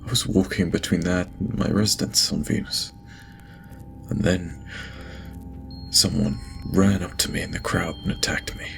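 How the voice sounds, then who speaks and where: An actor playing a guilt-ridden lord in an audio drama, dictating a private log in halting, stammering speech broken by sighs. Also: male voice, deep voice, level tone, gravelly, noisy background. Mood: shaken. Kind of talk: audio drama acting, personal log monologue. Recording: music behind the voice. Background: music.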